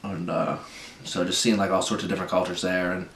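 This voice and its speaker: A young man from Wisconsin, an accounting student answering interview questions in a relaxed way with frequent "uh" fillers. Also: low voice, a little fast. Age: young adult. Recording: echoey room.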